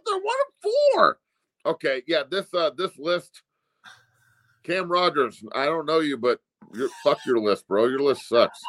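A man's voice: on the high side, big pitch swings, unhurried, a bit bright. A man in his forties with an American accent, co-hosting a sports show with emphatic, profanity-laced casual commentary. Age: 40s. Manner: emphatic, blunt, incredulous ranting.

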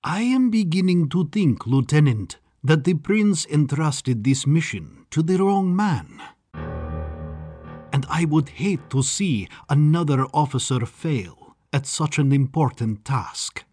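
A man's voice: fairly high pitch, lively intonation, takes it slowly, mellow, husky, soft background music, heavily acted. A man voicing a smug, gleeful and sinister scientist in an audiobook, with a sing-song, condescending, theatrical manner. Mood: smug menace. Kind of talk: audiobook character acting.

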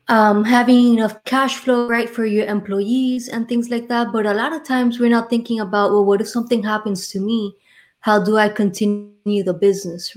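A friendly woman with a Miami accent, talking at an ordinary middle pitch. She explains insurance as an interview guest, relaxed and conversational. She often checks in with "right?" as she goes. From Miami, Florida. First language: Spanish. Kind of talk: podcast interview.

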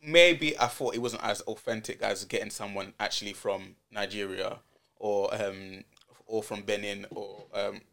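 A man's voice: fairly low pitch, fairly even intonation, a little slow, vocal fry, a bit bright. A man guesting on a podcast, talking loosely and hesitantly with many fillers. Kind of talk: casual chat.

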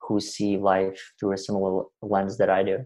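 Low, flat-voiced young man from Russia. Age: 20s. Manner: earnest, measured, speaks in long winding sentences. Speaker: podcast guest. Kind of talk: reflective interview talk.

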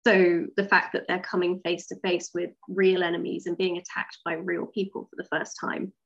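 Husky, creaky-voiced woman in conversation. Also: lowish voice, flat delivery, moderate pace, highs cut off. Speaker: writer being interviewed. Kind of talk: casual interview chat.

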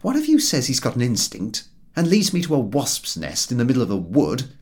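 A man's voice: medium pitch, wildly swooping pitch, brisk, darkish tone, pitched above the performer's own voice. A man voicing a sardonic, grumbling Englishman in a storybook reading, with sarcastic, emphatic, pointed delivery. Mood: cross, argumentative.

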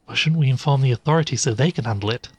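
A man acts as an inquisitive male podcaster in a horror audio drama. He has a mid-pitched voice and tells his investigation in the first person, careful and questioning. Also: rapid-fire, husky, no room sound, close to an everyday voice. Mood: wary, insistent.

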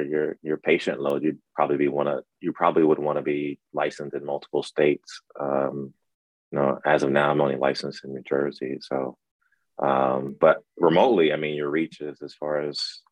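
A man who co-hosts a medical podcast. He talks in a relaxed way, teases his co-host, and hesitates with fillers. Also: extremely low pitch, no echo, poor audio. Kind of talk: casual interview chat.

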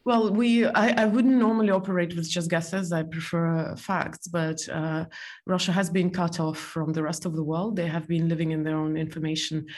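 A woman from Estonia answering interview questions in a measured way, pausing often with fillers and restarting her sentences. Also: fairly low pitch, flat delivery, a little mellow. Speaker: interview guest.

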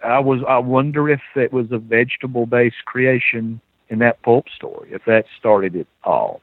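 A man's voice: medium pitch, moderate pace, no echo. A Southern man chats casually as a podcast guest. He tells his stories animatedly and chuckles often. His voice comes through a thin, phone-like line with no room sound. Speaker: guest author. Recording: tinny phone-line audio.

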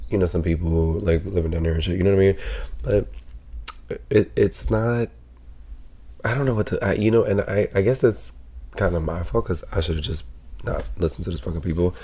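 A man hosting his own podcast, talking casually with a sick, raspy voice, rambling with lots of fillers and swearing. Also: deep voice, fairly even intonation, normal speed, long pauses, warm tone. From Pennsylvania. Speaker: podcast host. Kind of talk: casual solo podcast chat.

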